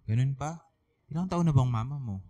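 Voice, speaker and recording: A man playing a concerned, composed high school teacher in a Filipino radio drama. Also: brisk, warm tone, no room sound, natural voice. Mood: surprised.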